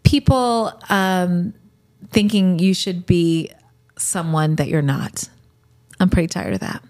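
A woman in her late forties, a podcast host, answering rapid-fire questions in a candid, reflective way and laughing easily. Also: on the low side, a little mellow. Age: 40s.

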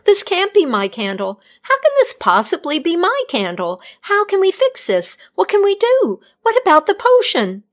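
A woman voicing a young female healer who pleads in a high, desperate voice. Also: steady tone, measured pace, vocal fry.

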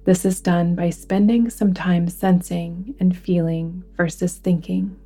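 Woman, meditation guide, husky soft-edged voice, even intonation, soothing and deliberate, faint music behind. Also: lowish voice. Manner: soothing, deliberate, hushed phrase endings. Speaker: yoga nidra guide. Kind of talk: guided relaxation meditation narration.

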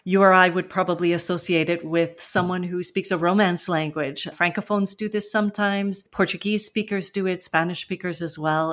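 A woman from western Canada with a West Coast Canadian accent, hosting a language-learning podcast and explaining things in a friendly, conversational way. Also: lowish voice. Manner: friendly, explanatory, acts out example phrases. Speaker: podcast host and ESL teacher.

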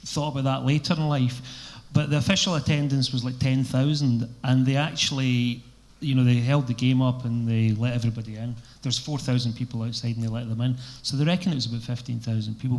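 A man's voice: medium pitch, fairly even intonation, a little fast, hardly any pauses, a little mellow. Scottish guy in his fifties rambling away on a live podcast stage, loose and swears a bit. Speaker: panel guest, record label boss. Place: live event venue.

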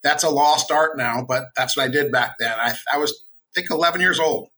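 A middle-aged American man talking as a podcast guest, relaxed, friendly and chatty. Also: a little high, fairly quick. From United States. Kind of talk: casual interview.

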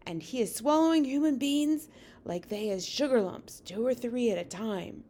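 A woman voicing a gentle, friendly giant man with mangled folksy grammar and an earnest manner, as in a storybook read-aloud. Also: a little high, animated, normal speed, voice pushed up. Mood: nervous hushed urgency.

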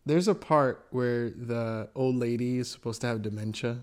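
A man's voice: medium pitch, normal speed. Young male co-host, blunt and impassioned, mid-range voice, casual podcast chat. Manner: blunt, impassioned, restarts phrases.

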